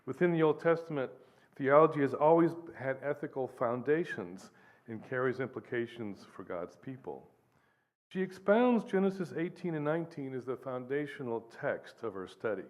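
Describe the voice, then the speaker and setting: A man in his sixties, raised between the United States and Guatemala, reading an academic paper at a podium in a measured way. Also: mid-range voice, lively intonation. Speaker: lecturer.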